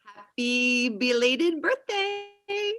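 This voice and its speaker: A blunt young woman, played by an actress, with a voice on the high side. She is defensive and argumentative, as read in a comedy table read. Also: expressive, very slow, a bit bright, heavily acted. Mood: friendly. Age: young adult. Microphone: video call.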